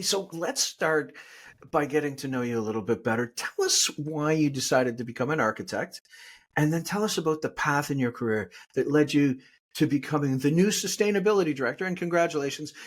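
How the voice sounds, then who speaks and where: A man's voice: on the high side, animated, fairly quick. A man hosting a podcast, greeting his guest in an upbeat, welcoming way. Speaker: podcast host.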